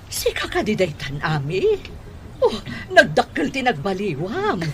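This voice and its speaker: A woman's voice: mid-range voice, huge pitch swings, measured pace. A woman playing a chatty, effusive former schoolteacher with an Ilocano accent in a radio drama. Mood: delighted, teasing.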